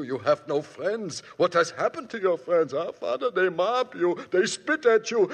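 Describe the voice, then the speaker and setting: An actor playing a desperate, dying German murderer in an old radio drama, pleading in a breathy German accent. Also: male voice, sits high. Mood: bitter. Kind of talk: 1950s radio drama acting. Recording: old radio broadcast, hall reverb.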